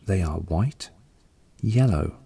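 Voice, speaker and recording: A man narrating a scripted guided meditation in a calm, unhurried, soothing way. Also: deep voice, creaky, slightly rough. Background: soft background music.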